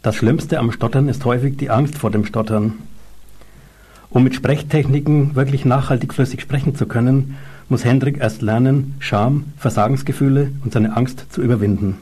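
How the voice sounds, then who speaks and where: Man, radio host, husky, mellow tone, measured narration. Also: medium pitch, fairly even intonation. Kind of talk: scripted narration and announcements.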